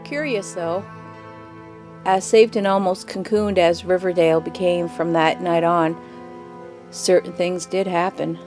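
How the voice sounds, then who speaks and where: A woman playing a grave mother who tells an old family story in a measured, wistful way in an audio drama. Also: on the low side, steady tone, darkish tone, faint music behind, lightly acted. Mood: grave, uneasy.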